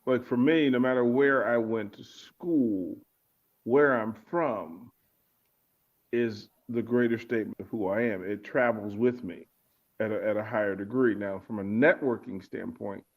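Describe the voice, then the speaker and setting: Reflective man, muffled low-fi sound. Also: measured pace. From Arlington, Virginia. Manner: reflective, repeats words while searching for phrasing. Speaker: guest author and speaker. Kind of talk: casual interview chat. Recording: muffled lo-fi audio.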